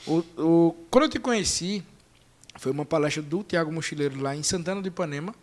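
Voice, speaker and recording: A man hosting a casual podcast chat, lively and joking. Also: a little high. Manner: lively, joking, quick-talking. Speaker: podcast host.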